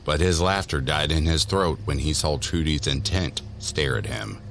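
A man's voice: extremely low pitch, normal speed, vocal fry, fairly bright tone, a little hiss or hum. A man narrating a scripted horror story as the storyteller of an audio drama.

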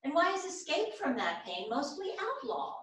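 A woman's voice: extremely high pitch, big pitch swings, moderate pace, fairly bright tone, heavily acted. A woman acting an outspoken, wry older mother in a staged theatre reading. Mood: indignant, sardonic. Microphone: distant camera. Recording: echoey theatre, recorded from far off.

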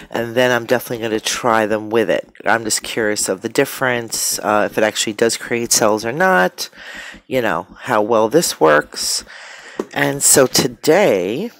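A woman with a congested, nasal voice from a cold, chatting informally and a little ramblingly as she presents a hands-on craft unboxing. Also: very deep voice. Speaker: craft vlogger. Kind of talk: casual hands-on unboxing chat. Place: indoors at a craft table.

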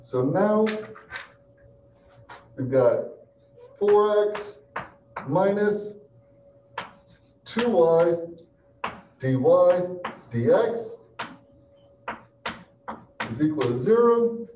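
A man in his thirties giving a math lecture in halting phrases, often checking in with his listeners, picked up by a distant camera mic in a classroom. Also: high-pitched, lively intonation, mellow. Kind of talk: classroom calculus lecture, working through steps aloud. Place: small classroom with chalkboard and projector. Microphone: far camera. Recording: muffled, roomy, distant mic with hiss.

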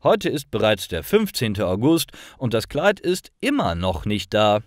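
A man's voice: lowish voice, huge pitch swings, measured pace, no room sound, studio quality. A man voicing an earnest, upset young woman in a storybook for learners, speaking carefully.

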